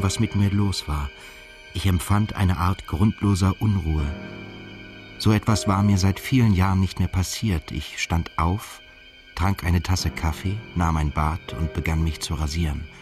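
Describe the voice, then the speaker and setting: Brooding male narrator for literary radio drama, low register, measured and melancholy, music underneath. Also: darkish tone. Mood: brooding, uneasy. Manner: measured, introspective, scripted. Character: brooding, melancholy male journalist telling his story. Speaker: narrator and protagonist.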